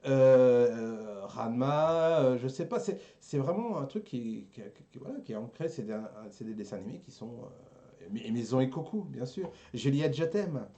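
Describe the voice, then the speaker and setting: A man's voice: mid-range voice, animated, normal speed, mellow, lo-fi sound. A man in casual chat as a show panelist, hesitant and often repeating words as he searches for the next one. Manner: hesitant, stammering repetitions of words.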